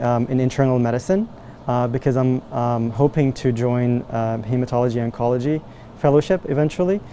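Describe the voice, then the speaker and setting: Young man from Morocco, mellow tone, halting answers with fillers, faint noise behind. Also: mid-range voice. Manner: hesitant, many fillers and restarts. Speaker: medical student researcher. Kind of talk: interview answers, casual.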